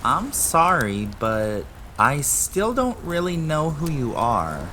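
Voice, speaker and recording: A man's voice: a little high, sing-song, slow pace, pushed and bright, a little hiss or hum, voice pushed up, full character voice. A man voicing a timid, polite young boy in an audio drama, hesitant and full of puzzled questions.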